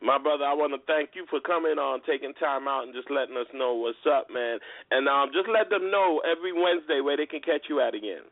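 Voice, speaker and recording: A man's voice: medium pitch, fast, hardly any pauses, dry recording. A man hosting a casual talk radio interview in a slangy, conversational way. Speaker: radio show host. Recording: phone-like internet radio with background music.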